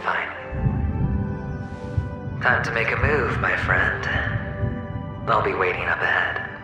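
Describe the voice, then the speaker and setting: An actor playing a smooth, theatrical, world-weary young gambler in a video game, speaking deliberately with dramatic pauses. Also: male voice, on the low side. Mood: bitter, reflective. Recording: heavy echo, long reverb, music underneath. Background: music.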